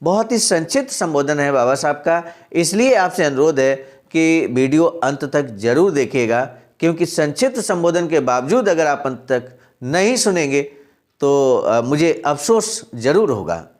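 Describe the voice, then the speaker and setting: A man's voice: on the high side, big pitch swings, unhurried. A man presenting a channel's daily message, reading out and explaining a historical text and addressing his listeners as honored friends. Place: center in Sarnath, Varanasi.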